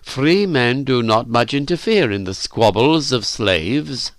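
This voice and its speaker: A man's voice: mid-range voice, animated, fairly bright tone, smooth, no echo. Man acting a stern, formal master. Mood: calm, formal. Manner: formal, measured, authoritative. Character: stern, commanding male master, calm and aloof.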